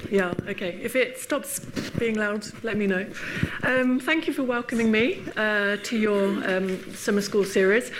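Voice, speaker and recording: An English woman giving a friendly public lecture, laughing often and filling pauses with ums and uhs. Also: mid-range voice, noisy background. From England. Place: lecture hall.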